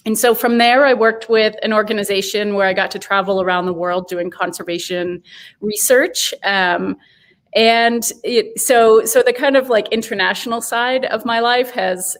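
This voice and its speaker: A woman with a Boston accent speaks as a guest over a video call. She talks in a loose, chatty way, full of "um"s and restarts, and laughs easily. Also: mid-range voice. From Boston, USA. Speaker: guest, brewery owner.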